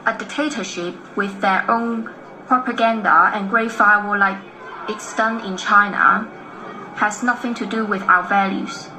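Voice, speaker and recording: A woman from narrating a documentary in an earnest, measured way. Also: medium pitch, fairly even intonation, bright, forceful tone, a little hiss or hum, poor audio. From Hong Kong.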